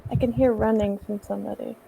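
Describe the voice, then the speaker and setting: A soft-spoken woman with a quiet voice, chatting gently as a guest on a casual hangout. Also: mid-range voice, steady tone, fast talker, gravelly, a little hiss or hum, low-quality recording. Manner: gentle, laughs easily. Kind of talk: casual chat.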